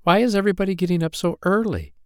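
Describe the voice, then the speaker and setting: A man's voice: sits high, fast, smooth, dry recording, studio quality, pitched above the performer's own voice, lightly acted. A man voicing an eager, tender little fairy girl in a children's storybook, exclaiming everything with wide-eyed earnestness. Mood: sleepy, curious.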